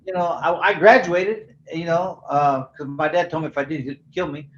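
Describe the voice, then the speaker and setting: A man's voice: a little high, fairly even intonation, moderate pace. An older man, a former boxer from California, telling stories in a loose, rambling way full of fillers. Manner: laid-back, rambling, heavy "you know" fillers. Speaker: guest, former professional boxer. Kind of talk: casual storytelling interview. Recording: echoey, dull lo-fi sound.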